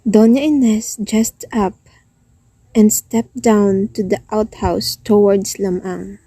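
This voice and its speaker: A woman's voice: mid-range voice, fairly even intonation, takes it slowly, dark tone, breathy edge, dry recording, low-quality recording. A woman narrator reading scripted storytelling narration of a folk tale.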